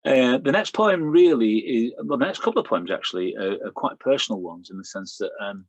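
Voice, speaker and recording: A man's voice: medium pitch, lively intonation, brisk, no echo. A middle-aged man with a Lancashire accent reading his poems aloud, with chatty asides and fillers between them. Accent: Lancashire English. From Lancashire, England. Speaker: poet. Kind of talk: poetry reading with spoken introductions.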